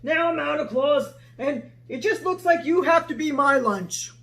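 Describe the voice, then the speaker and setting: A woman's voice: high-pitched, a little slow, a little hiss or hum. A woman voicing a big, bossy male lion who roars and jeers in a children's story. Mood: jeering. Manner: loud roaring bluster.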